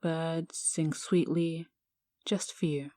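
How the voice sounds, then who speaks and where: A woman guiding a meditation in a hushed, soothing, measured manner with long pauses. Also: low-pitched, fairly even intonation, no room sound, studio quality. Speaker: yoga teacher guiding a meditation. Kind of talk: guided meditation narration.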